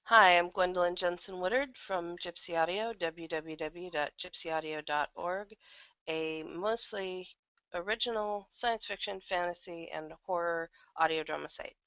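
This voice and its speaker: A woman's voice: fairly low pitch, normal speed, fairly bright tone, clear, resonant tone, no room sound, dull audio. A woman chatting casually as an interview guest, talking as an audio drama writer and producer.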